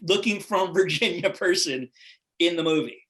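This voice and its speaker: A man's voice: high-pitched, moderate pace, bright, forceful tone. A middle-aged man from Los Angeles with a laid-back Californian accent, chatting loosely as an interview guest, with a lot of "like" and "right?" in his talk. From Los Angeles, USA. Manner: rambling, full of "like" and "right?". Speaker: guest actor. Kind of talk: casual interview chat.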